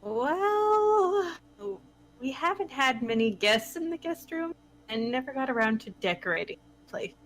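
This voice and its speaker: A supportive young woman in her twenties, played by a woman in a table-read drama. Her voice is fairly high, and she talks in a playful, caring, conversational way. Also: sing-song, a little slow, fairly bright tone, heavily acted, poor audio. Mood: casual, teasing.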